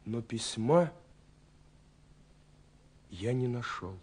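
Romantic young letter-writer, vintage radio drama: musing, tender, dark soft tone, mid pitch, often near whisper. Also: male voice, lively intonation, very slow, slightly rough, full character voice. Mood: quiet narrating, expectant. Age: young adult. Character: dreamy, romantic young man writing love letters. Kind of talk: radio play monologue of read-out letters. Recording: 1970s radio recording with music behind. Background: music.

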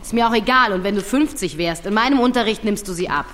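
An actress playing a brisk, strict, sarcastic secondary-school teacher in a radio play. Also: female voice, mid-range voice, fairly bright tone, a little hiss or hum, close to an everyday voice. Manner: dry, sarcastic, commanding. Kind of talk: German radio play acting.

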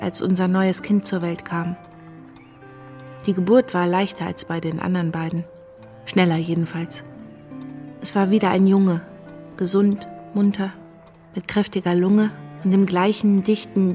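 A woman's voice: mid-range voice, mellow, close to an everyday voice. An actress playing a melancholy, disillusioned young wife and mother, confiding in a radio drama monologue.

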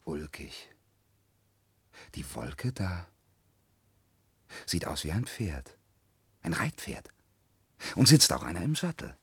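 An actor playing a dreamy, boastful, restless peasant lad in a radio play, with rough voice and declamatory delivery that swings from brooding murmur to exuberant cries. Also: male voice, medium pitch, expressive, a little slow, fairly bright tone, no echo, heavily acted. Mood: dreamy, grandiose. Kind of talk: theatrical radio drama acting in verse.

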